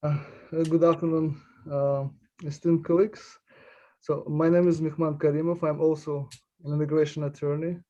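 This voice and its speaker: A man who grew up in Uzbekistan, speaking as a panelist in a halting way with many fillers. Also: fairly high pitch, level tone, dark tone, muffled recording. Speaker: panelist, immigration attorney. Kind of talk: panel discussion introduction.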